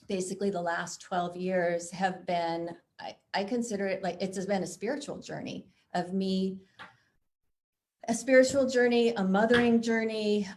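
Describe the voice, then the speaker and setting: A woman's voice: fairly low pitch, a little mellow. A middle-aged woman from Chicago, an author being interviewed, chatting with frequent self-deprecating laughs and reading from her book. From Chicago, USA. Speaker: author guest.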